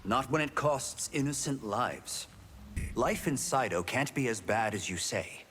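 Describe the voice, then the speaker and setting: A man's voice: medium pitch, expressive, a little slow, a bit bright, in a large hall, a little hiss or hum. A man voicing a firm, compassionate warrior in a video game, earnest and admonishing in his delivery. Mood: earnest, reproachful. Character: righteous, reasoning male warrior, firm but compassionate. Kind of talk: video game character dialogue.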